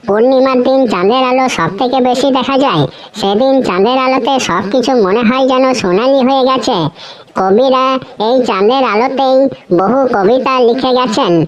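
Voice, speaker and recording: A woman's voice: high voice, moderate pace, close to an everyday voice. A cheeky cartoon schoolboy played by a woman. He is sure of himself and speaks in a sing-song, as if reciting in class, repeating words with relish. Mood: earnest reciting. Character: cheeky, overconfident schoolboy reciting absurd crocodile essays. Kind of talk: comedy cartoon voice acting.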